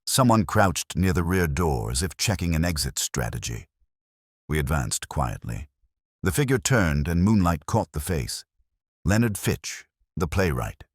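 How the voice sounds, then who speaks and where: A man narrates a first-person detective story in a very deep voice. His pitch moves in lively swings, and the recording is dry, with almost no room sound. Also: measured pace, husky, no echo. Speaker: audiobook narrator.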